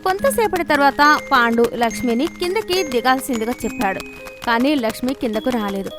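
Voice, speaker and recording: A woman's voice: sits high, lively intonation, brisk, over music. A woman narrating a scripted moral story for children.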